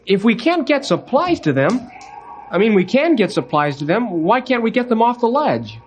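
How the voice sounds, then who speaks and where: Very high-voiced eager young sidekick. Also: male voice, big pitch swings, normal speed. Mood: eager, questioning. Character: Henry, eager young male rescue sidekick. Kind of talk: old-time radio adventure acting. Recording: echoey, noisy old radio recording.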